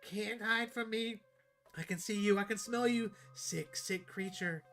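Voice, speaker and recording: A man voicing a sick, uncanny chicken, eerily ecstatic and menacing, speaking slowly and theatrically for a horror game. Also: high-pitched, over music, pitched above the performer's own voice.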